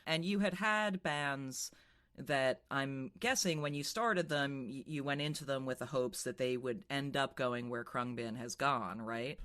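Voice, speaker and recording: Male interviewer, mid-pitched with a bright, crisp voice, conversational questioning. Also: a little slow.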